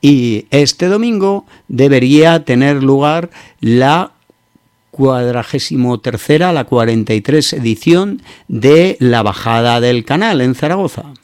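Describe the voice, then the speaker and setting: A man's voice: medium pitch, lively intonation, unhurried, darkish tone, clear, resonant tone, no room sound, clean studio sound. A man with a Peninsular Spanish accent hosting a radio show, giving opinionated news commentary in an informal, hesitant way.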